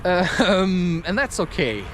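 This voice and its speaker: A man's voice: sits high, sing-song, slow pace, noisy background. A man rambling through a spontaneous, musing monologue, loosely putting rhetorical questions as he goes. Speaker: podcast host.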